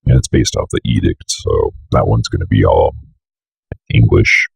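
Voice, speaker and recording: A young man giving a casual, unscripted software walkthrough, offhandedly muttering to himself as he works, with a gravelly, raspy voice. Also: extremely low pitch, moderate pace, dry recording. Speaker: tutorial host.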